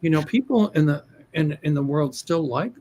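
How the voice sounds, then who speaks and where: A man's voice: on the high side, animated, moderate pace, darkish tone. An older American man hosting a livestream as a psychic, reading viewer questions in a hesitant, rambling way with many restarts. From United States. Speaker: psychic host of a livestream show.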